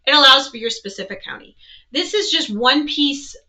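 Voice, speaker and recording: A woman's voice: high voice, expressive, normal speed, bright, forceful tone. A woman presenting an instructional product walkthrough, explaining things step by step. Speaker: presenter, weather educator.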